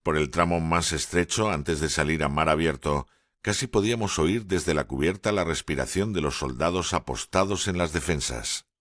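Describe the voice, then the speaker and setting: A male audiobook narrator with a very deep, low voice reads in a measured, steady way. The recording is dry and close, with no room sound. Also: dry recording. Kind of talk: scripted first-person narration.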